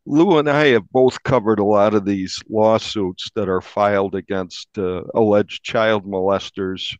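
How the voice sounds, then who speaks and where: A man who works as a newspaper reporter, recounting in a measured way during an interview and repeating words for emphasis. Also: lowish voice, no room sound, dull audio.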